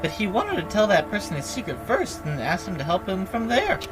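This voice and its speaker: A woman's voice: mid-range voice, huge pitch swings. A woman voicing a gleeful, taunting robotic bear villain telling a story theatrically for a video game. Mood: sly storytelling. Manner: theatrical, mocking, drawn-out announcements. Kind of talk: dubbing video game dialogue aloud.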